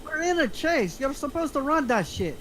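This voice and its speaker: A woman playing a hot-headed, foul-mouthed criminal sidekick of twenty-four in a film table read, pushy and quick to blame. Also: high-pitched, big pitch swings, a little hiss or hum, poor audio. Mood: combative. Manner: blaming, pushy, sweary.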